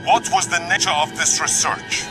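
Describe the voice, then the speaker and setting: An actor playing a menacing, impatient interrogator in a video game, demanding and explosive. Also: male voice, a little high, steady tone, normal speed, over music, lightly acted. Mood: cold, probing. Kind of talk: dramatic video game acting.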